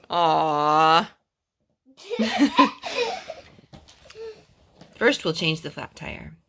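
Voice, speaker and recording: Mom doing storytime, singsong and coaxing, voice swoops around, bit of noise and clicks behind. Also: female voice, fairly low pitch, vocal fry. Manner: singsong, coaxing child-directed talk. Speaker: mother reading a picture book to her young children. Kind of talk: storybook read-aloud with chatty asides to children.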